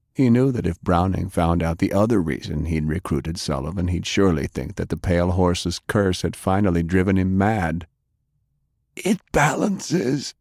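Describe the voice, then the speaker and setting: A man reads scripted fiction with measured drama. His voice is low with a heavy creak. The recording is very dry and close. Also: darkish tone, dry recording. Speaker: audiobook narrator.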